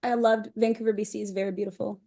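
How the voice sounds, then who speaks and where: A woman speaking as a podcast guest, candid and expressive, telling personal anecdotes in casual conversation. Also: mid-range voice, fast, vocal fry.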